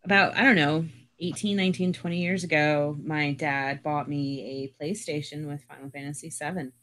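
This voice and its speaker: A woman on a podcast panel sharing a personal story, speaking earnestly and haltingly with many pauses. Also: sits low, fairly even intonation, a bit bright.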